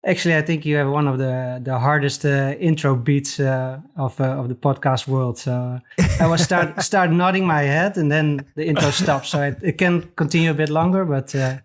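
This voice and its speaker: A man from the Netherlands with a Dutch accent, a podcast guest talking casually with frequent hesitant pauses. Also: a little high. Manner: hesitant, many pauses and restarts. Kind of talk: casual interview chat.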